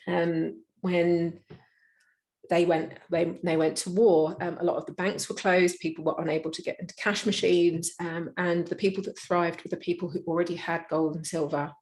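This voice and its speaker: A middle-aged woman talks an audience through her slides. Her voice sits on the low side, and her delivery stays conversational, full of small fillers and restarts. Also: a little mellow. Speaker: presenter. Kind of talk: live slide presentation.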